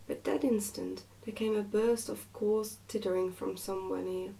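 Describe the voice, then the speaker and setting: Creaky, mellow woman reading storybook ASMR. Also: mid-range voice, steady tone, normal speed, far from the mic, rough recording. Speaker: reader, ASMR channel host.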